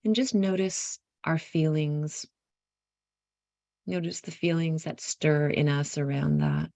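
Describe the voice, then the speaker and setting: Low-pitched woman, slow guided meditation. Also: lively intonation, no echo. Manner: unhurried, pausing mid-phrase, softly inviting. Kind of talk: guided meditation, gentle spoken instruction.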